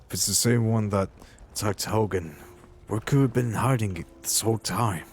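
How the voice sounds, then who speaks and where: A man role-playing in character through a fantasy game, improvising in a halting, musing manner. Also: lowish voice, slightly rough.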